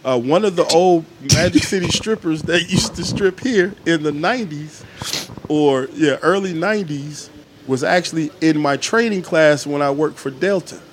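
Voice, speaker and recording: A man's voice: fairly high pitch, moderate pace, background noise. A middle-aged man, a podcast guest telling loose, joking, profane stories in casual chat. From Atlanta, Georgia. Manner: laid-back, joking, profane storytelling.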